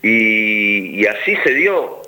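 A man's voice: fairly low pitch, sing-song, slow, bright, forceful tone, poor audio. A man with an Argentine accent talking casually as an actor being interviewed, often repeating words as he forms his thoughts. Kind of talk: casual radio interview talk.

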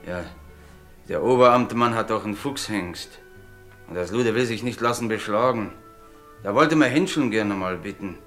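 An actor playing a hearty village blacksmith in a radio play, rambling colloquially. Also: male voice, on the low side, moderate pace, fairly bright tone, faint music behind, natural voice. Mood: jovial, earthy. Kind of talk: German radio play acting.

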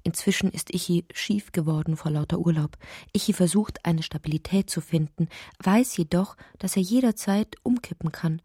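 A woman narrating a literary audio drama in a breathy voice that often drops toward a whisper, reciting deliberately and poetically. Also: lowish voice, dark tone, no echo, studio quality.

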